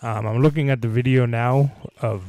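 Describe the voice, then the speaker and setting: A man's voice: mid-range voice, fairly even intonation, moderate pace, mellow. A man hosting casually, reading comic fan fiction and commenting over a children's video game, in informal language. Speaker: host of a gaming channel. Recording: clearly noisy, game audio underneath. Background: children's video game sounds.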